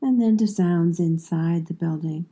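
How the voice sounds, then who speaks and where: A woman leading a guided meditation as a yoga nidra instructor, giving calm, soothing instructions in measured phrases with long pauses. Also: lowish voice.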